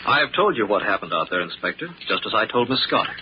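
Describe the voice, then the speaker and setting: An actor playing a tough but reassuring private detective in an old-time radio drama, firm, plain-spoken and slangy. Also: male voice, on the low side, very animated, quick pace. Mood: grim, measured. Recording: dull, noisy old radio recording.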